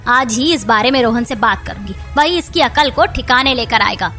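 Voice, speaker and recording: A woman's voice: on the high side, normal speed, sharp tone. A woman voicing the women of a household in a Hindi moral-story cartoon, over music. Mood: complaining. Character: women of a quarrelling household: daughter-in-law, mother-in-law, sister-in-law. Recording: music bed behind the voice.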